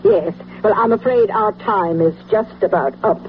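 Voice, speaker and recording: Man playing high-voiced TV interviewer, tinny sound. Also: moderate pace, loud music behind the voice, natural voice. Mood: politely doubtful. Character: polite, probing TV talk show interviewer. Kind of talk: 1970s radio drama acting. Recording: tinny, humming old radio broadcast.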